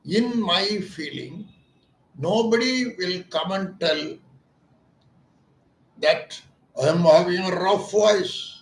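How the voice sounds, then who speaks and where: A man's voice: high-pitched, slow pace, pauses often. A man, an ENT doctor explaining his clinic's treatment in an earnest, emphatic way that often repeats its points as questions. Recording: echoey room.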